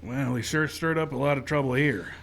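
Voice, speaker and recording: Male actor as frontier lawman and storyteller: steady, firm, middle register, classic radio western delivery. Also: mid-range voice, brisk, lightly acted. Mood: courteous, measured. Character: steady, firm frontier marshal who also narrates. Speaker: narrator and lead actor. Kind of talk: old-time radio western acting. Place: live stage show in a park.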